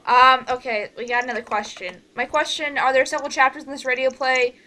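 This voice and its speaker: A woman's voice: sits high, steady tone, fairly quick, sharp tone. A young adult woman hosting a casual online group meeting, rambling and often restarting her sentences. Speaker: host leading an online cast meeting. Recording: noisy home mic with keyboard typing.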